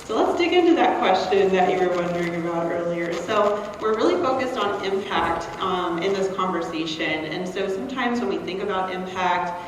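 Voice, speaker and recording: A woman's voice: lowish voice, lively intonation, normal speed, few pauses, a little hiss or hum. A woman moderating a live panel, upbeat and encouraging, recorded from a distance in a large echoey hall. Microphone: distant from the recording mic. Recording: roomy hall sound from far off.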